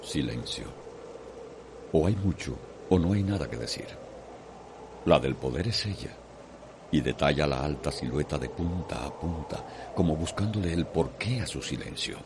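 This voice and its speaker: A man with a Cuban accent narrating a radio soap in a measured, literary, dramatic style. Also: very deep voice, animated, noisy background. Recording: music behind the voice.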